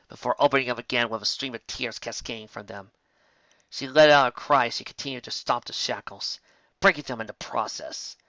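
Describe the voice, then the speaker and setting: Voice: mid-range voice, bright, forceful tone, no echo, highs cut off. A narrator reading a fan fiction story in a scripted storytelling style.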